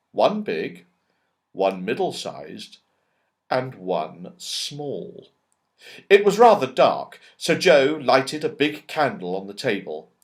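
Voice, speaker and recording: A man narrating a children's story expressively, with dramatic and emphatic phrasing. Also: mid-range voice. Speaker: audiobook narrator.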